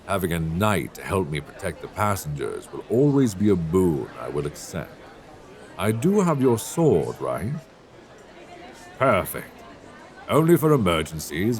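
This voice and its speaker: Genial male ship's captain, acted by a man, fantasy audio drama, theatrical, very animated intonation, unhurried pace. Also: low voice, exaggerated voice.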